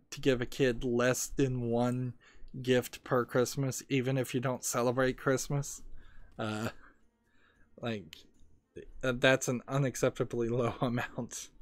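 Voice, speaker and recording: Slow-talking, opinionated male streamer. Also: mid-range voice, a little mellow, no echo. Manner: rambling, opinionated, many restarts and filler. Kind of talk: casual commentary while gaming, reading chat.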